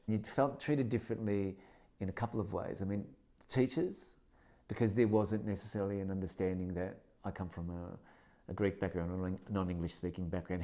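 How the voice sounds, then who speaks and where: A deep, mellow-voiced Australian man in his fifties reflects on his life as a teacher. He speaks in a measured, thoughtful way, pausing and restarting phrases as he gathers his ideas. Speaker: secondary school teacher. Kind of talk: reflective interview testimony.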